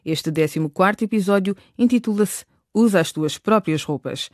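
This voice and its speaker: Man, narrator, scripted storytelling, high pitch for a male, dry recording. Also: moderate pace, no echo.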